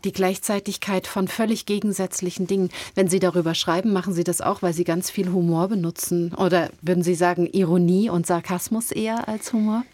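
Female host, articulate and steady, asks clear leading questions; dry studio recording. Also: on the low side, a little fast, hardly any pauses, no room sound. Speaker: interviewer. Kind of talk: interview host.

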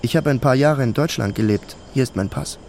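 A man's voice: mid-range voice, brisk, creaky, darkish tone, a little hiss or hum, close to an everyday voice. An actor playing an anxious male traveler who narrates his own journey in a radio play, introspective and insistent. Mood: brooding.

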